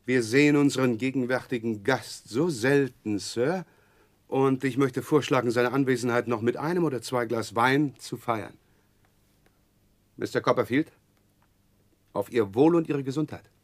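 A man's voice: medium pitch, normal speed, no echo, close to an everyday voice. Man doing a slimy humble-acting clerk, sly and spiteful underneath, old radio play, dry studio sound. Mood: oily politeness. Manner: obsequious, self-abasing, sly. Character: fawning, falsely humble, scheming clerk with hidden spite. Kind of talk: 1960s German radio drama acting.

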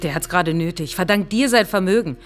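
A woman's voice: fairly low pitch, brisk, faint music behind, natural voice. An actress playing a spoiled, cruel woman who toys with lives, in a German radio crime drama. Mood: gleeful cruelty.

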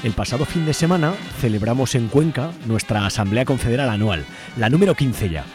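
A man's voice: medium pitch, expressive, a little fast, darkish tone. A man with an accent from Spain reading scripted narration as co-host of a radio show. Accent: Spain Spanish. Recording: music bed behind the voice. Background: music.

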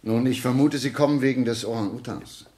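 An actor playing a shrewd, self-assured gentleman detective in a German radio play, reasoning deliberately and with sly politeness. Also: medium pitch, lightly acted. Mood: slyly courteous.

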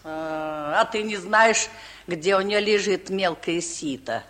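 An actress playing a kindly, folksy elderly village woman in a 1950s radio comedy, full of drawn-out exclamations. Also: fairly low pitch, fairly bright tone. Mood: plaintive, chatty. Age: older.

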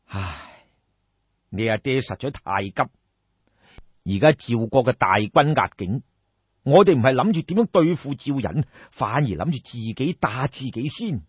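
A man voicing a seasoned, loyal army general in Cantonese, sighing and speaking in a deliberate, measured way. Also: mid-range voice, lively intonation, breathy edge, no room sound, highs cut off, voice pushed down. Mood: weary, troubled. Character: seasoned male army general, grave, weary, firm and loyal.

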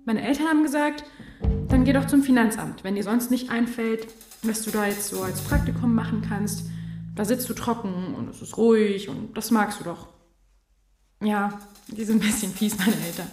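A woman's voice: mid-range voice, moderate pace, a little mellow, lightly acted. A woman playing a chatty teenage schoolgirl. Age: teens. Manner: chatty, rambling. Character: chatty seventeen-year-old schoolgirl intern. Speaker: high school intern. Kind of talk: radio drama monologue. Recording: roomy with guitar music behind.

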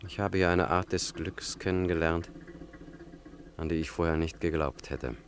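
An actor playing a courteous, brooding young student revolutionary in a German radio play, speaking in measured, formal phrases. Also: male voice, sits low, fairly even intonation, slight background noise, close to an everyday voice. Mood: courteous, earnest.

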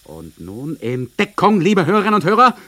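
An actor playing a mocking, bossy narrator of a satirical radio play, addressing listeners with mock solemnity and condescension. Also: male voice, high-pitched, huge pitch swings, normal speed, sharp tone, heavily acted. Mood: excited, taunting.

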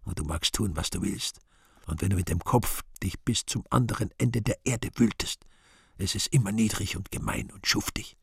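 Bitter old-school radio play devil, super husky and creaky, muttering then swelling up, proud but self-hating. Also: male voice, fairly low pitch, normal speed, dry recording, clean studio sound, full character voice. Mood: bitter, self-loathing. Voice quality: husky, gravelly. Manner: brooding theatrical monologue, sudden swells and hushes. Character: bitter limping devil, self-loathing outcast yet proud. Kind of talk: German radio play acting.

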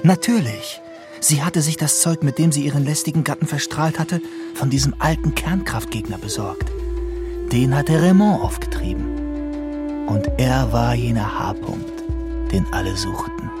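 Male narrator: brooding, slow, mid-range, dramatic scripted read with music bed. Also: mid-range voice, big pitch swings.

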